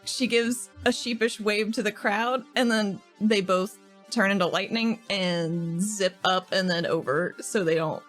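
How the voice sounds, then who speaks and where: A woman playing a tabletop game, describing her character's moves in quick, laughing banter. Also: medium pitch, steady tone, fairly bright tone, faint music behind. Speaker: player.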